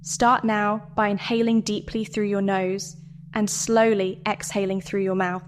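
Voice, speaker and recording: A woman delivering a scripted guided meditation and dramatic monologue in a measured, deliberate way with pauses. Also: medium pitch, flat delivery, slight background noise. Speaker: meditation narrator.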